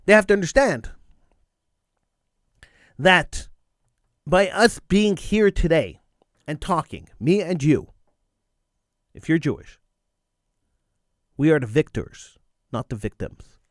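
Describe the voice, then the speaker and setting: A middle-aged man with a fairly high voice delivers a fervent talk radio monologue. He hammers his points by repeating phrases, and a slight cold wears on his voice. The studio microphone sound is dry and close. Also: expressive, slow, pauses often, no room sound. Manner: emphatic, repeats phrases for stress. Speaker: radio talk show host.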